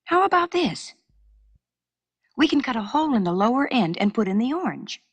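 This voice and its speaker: A woman storyteller voicing a stubborn, excitable schoolboy in an emphatic, exclaiming manner for a children's story. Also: a little high, normal speed, slightly rough, dry recording, heavily acted. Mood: eager.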